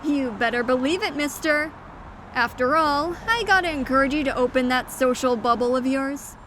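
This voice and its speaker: A woman's voice: sits high, normal speed, a bit bright, a little hiss or hum. A woman voicing a spunky, friendly and teasing young goat girl in an audio drama, perky and chatty. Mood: playful.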